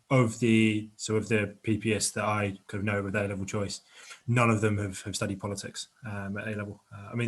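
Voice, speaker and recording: Man, quick pace, flat intonation, informal explainer, tentative delivery. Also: on the low side. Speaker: webinar panellist, admissions adviser. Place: online webinar.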